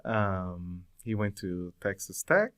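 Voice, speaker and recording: A man in his forties from Brazil, speaking English with a Brazilian Portuguese accent, talking as a guest in an interview, thoughtful with many pauses and fillers. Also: low-pitched, expressive, a bit bright, clean tone. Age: 40s. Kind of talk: casual interview conversation.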